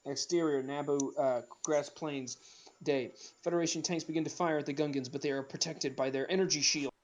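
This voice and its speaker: A man's voice: on the high side, steady tone. A man reading out script stage directions as narrator, casually and with some stumbles. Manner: halting, stumbles over the script. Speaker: narrator of a script table read.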